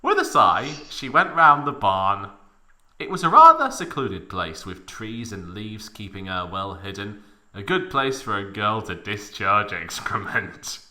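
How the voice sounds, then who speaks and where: Male narrator for comic fanfic reading: sharp, forceful tone, hugely swinging pitch, hammy emphasis. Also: very animated, unhurried. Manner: theatrical, exaggerated emphasis. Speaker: narrator of a fan fiction reading. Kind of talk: mock dramatic reading with comic asides.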